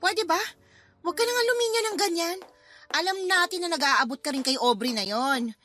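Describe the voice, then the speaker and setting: A shrill, bright, scolding radio-drama wife. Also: female voice, normal speed. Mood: sarcastic, accusing. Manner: sarcastic, confrontational. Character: bitter, scolding wife and mother, fed up and combative.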